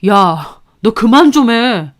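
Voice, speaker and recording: A woman's voice: on the high side, very animated, slow. A woman voicing an evasive, cornered husband who mumbles defensively.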